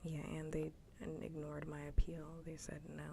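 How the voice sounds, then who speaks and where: A woman ASMR streamer chatting casually in a soft, breathy whisper close to the microphone, repeating herself as she goes. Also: deep voice, completely flat delivery, moderate pace, slight background noise. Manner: casual, repetitive, mildly swearing. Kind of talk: whispered ASMR livestream chat.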